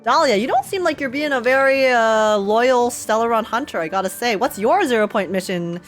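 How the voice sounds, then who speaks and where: A young woman streamer giving casual, slangy, excitable live reactions to a game. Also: a little high, moderate pace. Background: game music in background.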